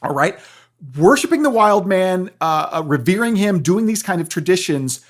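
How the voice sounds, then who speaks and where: A man talking as a guest expert on a podcast, explaining things in an animated storytelling way and often checking in with the listener. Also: sits high, normal speed. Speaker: guest, philosophy professor and author.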